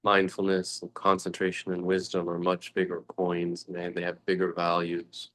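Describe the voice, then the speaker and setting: A man giving a guided meditation talk as a teacher, speaking contemplatively in short phrases with long pauses between them. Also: low voice, monotone, a bit bright.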